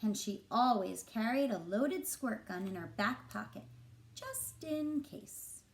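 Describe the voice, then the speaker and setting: Animated, high-voiced woman reading a storybook. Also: slow. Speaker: teacher reading a picture book aloud. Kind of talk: expressive storybook narration for children.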